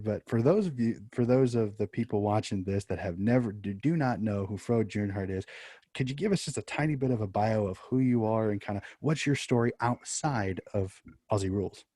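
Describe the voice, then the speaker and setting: Male podcast host, low warm voice, dry close sound, upbeat, repeats himself starting questions. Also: no echo. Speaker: interview host. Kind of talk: podcast interview.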